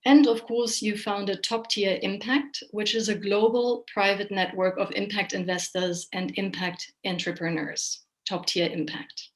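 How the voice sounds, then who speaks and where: Female moderator for online discussion, mid-pitched, chatty and keen, introduces guests and asks questions. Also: steady tone, measured pace, hardly any pauses. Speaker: host, moderator of an online panel. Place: online video call.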